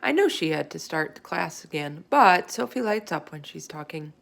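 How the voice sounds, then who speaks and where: A woman's voice: low-pitched, animated, moderate pace, vocal fry, fairly bright tone, exaggerated voice. A woman voicing a small, kindly male hamster who narrates a children's storybook with earnest wonder. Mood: earnest, musing. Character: small chatty classroom hamster, male, kind and observant storyteller.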